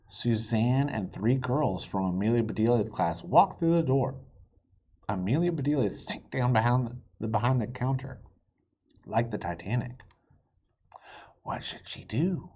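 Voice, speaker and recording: A man reading a children's book aloud in an expressive way, with occasional asides and stumbles. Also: mid-range voice, measured pace, breathy edge, lo-fi sound. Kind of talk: animated read-aloud narration.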